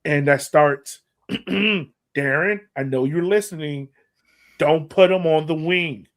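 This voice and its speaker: A man's voice: a little high, takes it slowly. A man hosting a sports podcast, giving casual fan commentary with playful, acted-out banter.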